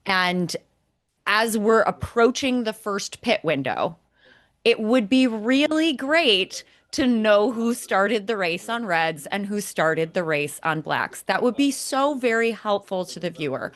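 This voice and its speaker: A woman's voice: medium pitch, animated, slow pace, a bit bright. A woman co-hosting a motorsport podcast, giving emphatic, critical commentary and explaining point by point. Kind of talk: opinionated podcast commentary on race broadcasts.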